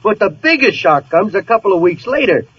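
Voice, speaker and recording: A man's voice: sits high, very animated, fairly quick, husky, full character voice. An actor playing a brash, indignant, wisecracking small-time gangster boss in a 1940s radio comedy, with a streetwise tough-guy delivery. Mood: wry storytelling. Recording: muffled, noisy 1940s radio recording.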